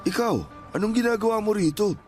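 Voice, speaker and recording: A man acting as a gentle, hurt older father in a radio drama, pleading earnestly and emotionally with his grown child. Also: sits high, fairly quick, lightly acted. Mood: upset. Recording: music playing behind the voice.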